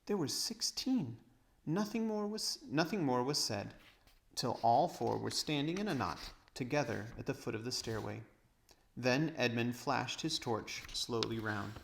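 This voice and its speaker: Man, storybook narrator, gravelly creak, mid-pitched, reading aloud. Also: expressive, unhurried.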